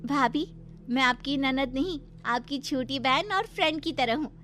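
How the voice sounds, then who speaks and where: A woman's voice: high-pitched, a little slow, fairly bright tone, a little hiss or hum. A woman playing a friendly, timid young sister-in-law with a girlish, earnest manner. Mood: playful, affectionate.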